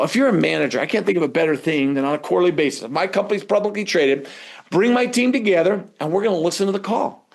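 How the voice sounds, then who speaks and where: A man's voice: sits high, creaky. A man teaching a live webinar in a conversational way, prompting listeners with rhetorical questions. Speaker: webinar instructor.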